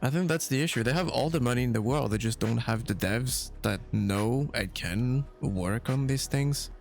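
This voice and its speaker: Male gaming podcast host, noticeable vocal fry, blunt informal talk, faint music bed. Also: medium pitch, normal speed, a little mellow. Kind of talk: casual gaming discussion.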